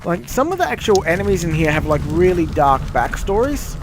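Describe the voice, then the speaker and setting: A man's voice: high voice, very animated, fairly quick. A man with an Australian accent chatting casually while he plays a game, rambling and swearing freely. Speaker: gamer playing on stream. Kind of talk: casual gaming chat. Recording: noisy game capture.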